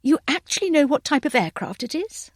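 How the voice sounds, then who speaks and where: A woman voicing a bright, effusive, well-bred young lady aviator who is polite and apologetic, with old-fashioned phrasing. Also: sits high, very animated, fairly quick, no echo, clean studio sound, pitched above the performer's own voice. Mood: curious, surprised.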